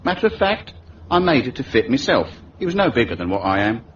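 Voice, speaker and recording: An actor playing a wily middle-aged London undertaker with a Cockney accent, ingratiating, wordy and deferential, in a radio detective drama. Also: male voice, mid-range voice, sing-song, fairly quick, a bit bright. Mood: sly, confiding. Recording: muffled, hissy radio recording, distant mic.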